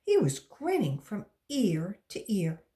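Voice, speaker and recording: A woman reading a storybook aloud to children as a volunteer, in an expressive narrating style. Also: mid-range voice, slow.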